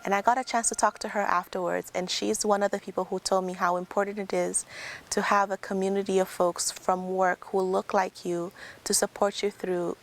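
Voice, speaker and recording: A young woman from Tanzania, a software engineer, giving a prepared talk in an earnest, conversational way. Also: medium pitch, flat delivery, normal speed. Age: young adult. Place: outdoors.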